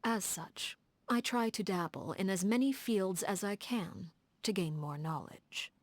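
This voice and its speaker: A woman playing a coolly elegant, formal leader of agents in a video game, speaking in a composed, measured and refined way. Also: mid-range voice, dry recording, natural voice. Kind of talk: scripted video game character dialogue.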